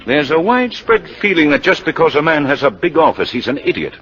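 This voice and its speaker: A man acting a pompous, smug insurance boss with a husky voice in 1950s radio drama style. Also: fairly high pitch, sing-song, a little fast, fairly bright tone. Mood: officious. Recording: muffled vintage radio broadcast.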